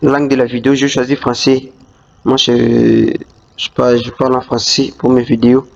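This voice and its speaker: A man's voice: mid-range voice, level tone, moderate pace, gravelly. A man hosting a screen-recorded tutorial walkthrough, talking hesitantly with many fillers and restarts. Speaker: YouTube tutorial host. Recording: dull lo-fi voice-over.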